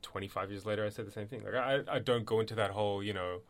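A man's voice: on the low side, fairly even intonation, fast talker. A middle-aged man from Bangladesh with a mixed accent, speaking reflectively and personally in a discussion. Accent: mixed, not Australian. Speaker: panel speaker.

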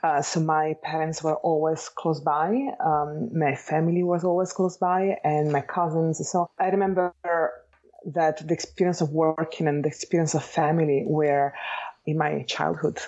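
A woman in her forties with an Italian accent, giving thoughtful, measured answers as an interview guest. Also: deep voice, flat delivery, dark tone, highs cut off. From Italy, Bologna. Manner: thoughtful, measured, searching for words. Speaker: architect and educator, guest.

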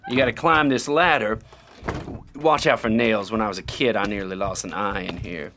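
Cocky, brooding Southern rogue. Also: male voice, lowish voice, normal speed, sharp tone, a little hiss or hum. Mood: wistful, eerie. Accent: American South. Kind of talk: old-time radio drama acting.

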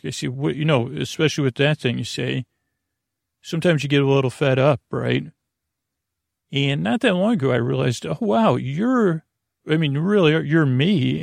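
A man hosting a bedtime podcast in a rambling, halting monologue, whimsical and gently reassuring, often repeating himself and trailing off. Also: medium pitch, gravelly, no room sound, studio quality. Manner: meandering, halting, repeats and trails off mid-sentence. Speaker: podcast host.

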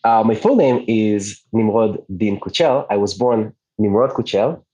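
A man's voice: on the low side, measured pace, husky, dull audio. A man in his late thirties from Israel, a native Hebrew speaker, chatting casually as an interview guest with frequent restarts. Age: 30s. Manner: relaxed, restarts often, light laughs. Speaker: podcast guest, digital nomad.

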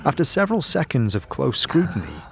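A man narrating a fantasy audio drama in the second person, with a measured, descriptive storytelling delivery. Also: medium pitch, huge pitch swings, vocal fry. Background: background music.

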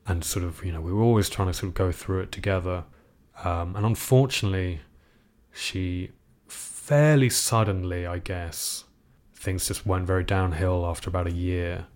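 Deep-voiced man, British accent, halting. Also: steady tone, a little mellow. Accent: British English. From Iceland. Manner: thoughtful, halting, many fillers and restarts. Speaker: guest, composer. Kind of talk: reflective interview conversation.

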